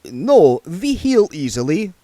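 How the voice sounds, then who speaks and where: A man's voice: a little high, sing-song, slow, mellow, no echo, pitched above the performer's own voice. A man doing a prissy reformed vampire. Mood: fussy, polite. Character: fussy reformed male vampire, prim and huffy.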